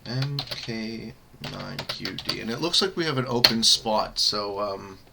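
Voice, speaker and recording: A man hosting a casual gaming podcast, talking in a halting way with many filler sounds while he sets up an online game. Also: on the low side, animated, gravelly, very noisy. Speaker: podcast host.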